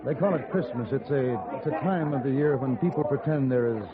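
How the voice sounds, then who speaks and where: A man with an American accent playing a calm, wry gunfighter hero in a 1950s radio Western. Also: mid-range voice, brisk, over a noisy crowd, lightly acted. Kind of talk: 1950s radio drama acting and narration. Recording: tinny old radio broadcast recording.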